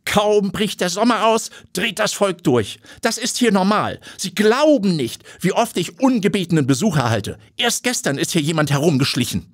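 A stern lady of about fifty, as a male narrator puts her on, speaking in a high, haughty voice. Her pitch swings widely, with a husky edge in a dry, close recording. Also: very animated, moderate pace, fairly bright tone, no room sound, heavily acted. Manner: haughty, then flustered and despairing. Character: stern wealthy woman in her fifties.

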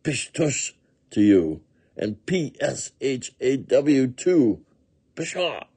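A man's voice: medium pitch, big pitch swings, drawn-out words, mellow, breathy edge, dry recording, voice pushed up, exaggerated voice. A man voicing a sly, scornful weasel in a storybook, with a sneering manner. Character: sly, scornful male weasel with a scary smile.

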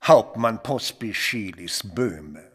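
An actor playing a jovial, shrewd army colonel with a Viennese accent, teasing and theatrically self-satisfied, in an audio drama. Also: male voice, lowish voice, expressive, slow, husky, full character voice. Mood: genial, commanding.

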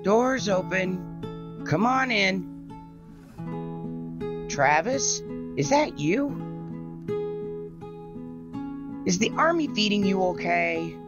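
A woman playing a gentle, affectionate elderly farm grandmother in an audio drama. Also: mid-range voice, lively intonation, takes it slowly, pauses often. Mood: welcoming. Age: older. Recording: muffled audio under guitar music.

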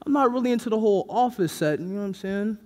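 A man's voice: high voice, very fast, darkish tone, close to an everyday voice. A young man playing a laid-back, work-shy boyfriend in a stage comedy, slangy and evasive. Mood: casual. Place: indoor theatre stage, far camera.

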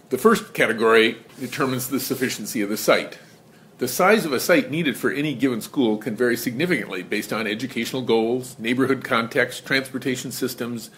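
A man presenting an educational lesson in measured, scripted narration. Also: mid-range voice, a bit bright. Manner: measured, explanatory.

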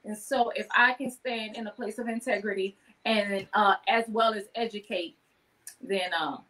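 A woman's voice: mid-range voice, steady tone, normal speed, pushed and bright, rough recording. Woman chatting casually on a video call. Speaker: guest, wellness business owner. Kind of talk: casual chat with self-promotion. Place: indoor room on a video call.

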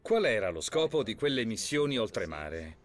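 A man's voice: lowish voice, very animated, normal speed, music in the background. A man voicing an interviewee in a documentary dub.

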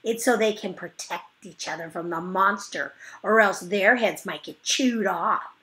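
A woman's voice: mid-range voice, measured pace, pushed and bright. A woman voicing a smug little boy who teases and tries to scare a classmate in a know-it-all way. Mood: teasing.

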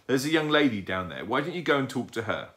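A man's voice: mid-range voice, very animated, quick pace, fairly bright tone, voice pushed up. Mild, motherly owl, voiced by a man. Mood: mild, encouraging. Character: sleepy, sympathetic mother barn owl.

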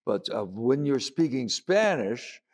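A man's voice: mid-range voice, big pitch swings, slow. Podcast host man asking questions. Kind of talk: interview questions.

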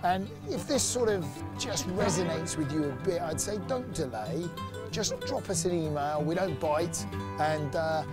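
A man's voice: a little high, animated, measured pace, loud music behind the voice. A man in his forties, an outdoor activity company director, talking enthusiastically in a promotional interview outdoors in a sunny woodland, on a lapel mic. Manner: enthusiastic, upbeat.

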